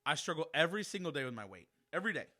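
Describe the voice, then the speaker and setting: A man's voice: fairly high pitch, very animated, racing, pushed and bright. A man, hosting a casual solo podcast and talking straight to his listeners in a chatty, self-deprecating way. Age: 40s. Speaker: podcast host.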